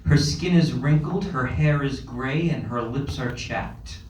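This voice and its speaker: A man's voice: medium pitch, unhurried, darkish tone. A man narrating a screenplay's scene directions at a table read. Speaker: stage-direction reader. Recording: roomy, distant, faint ambient noise.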